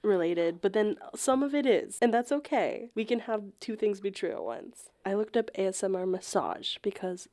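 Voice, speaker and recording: A woman with a soft, mellow tone co-hosts a casual comedy podcast. She talks candidly and slangily, swears freely and sounds amused by what she shares. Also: mid-range voice, animated, moderate pace, hardly any pauses. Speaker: podcast co-host.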